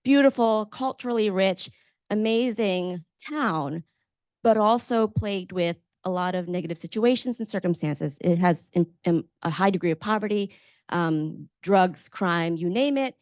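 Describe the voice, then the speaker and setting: A woman's voice: lowish voice, unhurried, a little mellow, no echo. American woman moderating, dry slightly dull sound. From Maryland, United States. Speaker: panel moderator. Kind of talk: conversational personal storytelling to an audience.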